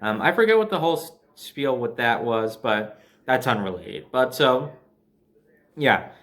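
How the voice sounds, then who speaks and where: A man's voice: mid-range voice, animated, a little slow, fairly bright tone. A man from New Jersey with an American accent tells a personal story casually, conversationally, with sardonic asides. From New Jersey, USA.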